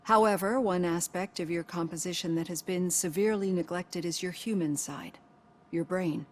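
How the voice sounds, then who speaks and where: Woman playing a low-voiced, polite psychologist. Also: darkish tone, natural voice. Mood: polite, earnest. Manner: polite, measured, professional. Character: polite, persistent female police psychologist. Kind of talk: video game voice acting.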